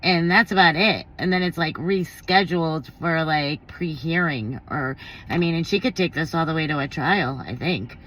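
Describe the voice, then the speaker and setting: A woman with a slightly low voice talks through her opinions like a vlogger. She is blunt and informal, reasoning aloud in everyday slang as she goes. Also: moderate pace, a bit bright, low-quality recording. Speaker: commentary vlogger. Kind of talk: casual opinion commentary on a court case.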